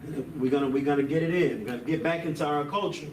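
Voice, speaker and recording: A man's voice: a little high, fast talker, a little mellow, distant mic, slight background noise. Man teaching in an echoey room. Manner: peppers talk with "man" and "you know what I'm saying". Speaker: Hebrew language teacher. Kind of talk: casual classroom lesson.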